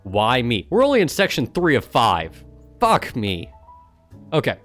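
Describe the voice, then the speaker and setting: A man's voice: mid-range voice, huge pitch swings, unhurried, a bit bright, faint music behind. A man streaming casually, reading aloud and adding sarcastic, exasperated commentary, with swearing. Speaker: streamer and gaming guild member.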